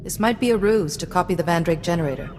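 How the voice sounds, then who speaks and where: An actress playing a composed, dutiful female warrior-sage in a video game, speaking in a measured, direct way. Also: fairly low pitch, a little mellow, lightly acted. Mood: wary, firm. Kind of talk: video game cutscene acting. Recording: long reverb, music underneath.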